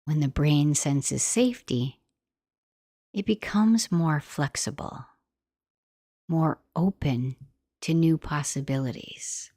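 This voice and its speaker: A woman guiding a meditation in a gentle, soothing, unhurried manner, like soft instructional narration. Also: deep voice, no echo, clean studio sound. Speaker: meditation guide.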